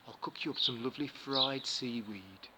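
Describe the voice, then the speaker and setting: A man voicing a gentle, fussily polite male turtle. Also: mid-range voice, normal speed, close to an everyday voice. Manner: kindly, fussy politeness. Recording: loud background noise.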